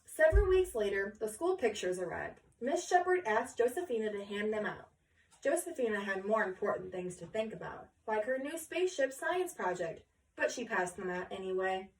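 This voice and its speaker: A woman reading a picture book aloud to children in an expressive storytelling style. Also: medium pitch, moderate pace. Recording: echoey room, distant mic.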